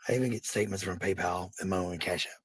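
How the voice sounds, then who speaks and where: A man with a raspy, gravelly voice in casual, rambling chat, blunt and swearing freely. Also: sits low, fairly even intonation, fast, no echo.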